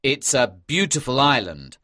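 Woman doing a calm young ship officer guy for an audiobook, low voice, slow n measured, really earnest. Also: dry recording, studio quality, heavily acted. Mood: friendly, explaining. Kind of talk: audiobook character dialogue.

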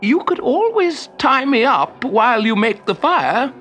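A crafty, bad-tempered old wizard from a storybook, acted out by a man, speaks in a high, theatrical voice. The pitch swoops about, and music plays behind. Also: lively intonation, measured pace, fairly bright tone. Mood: wheedling, strained. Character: cunning, grumpy old male wizard. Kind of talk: storybook character voice.

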